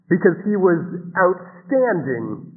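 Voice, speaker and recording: Radio play: chatty golden-boy DJ, showy, higher-pitched, narrow tinny radio sound. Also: very animated, slow pace, long reverb tail, full character voice. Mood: chirpy, chatty. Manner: chatty, hammy showmanship. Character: smooth-talking male radio host, chirpy small-town golden boy. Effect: radio filter.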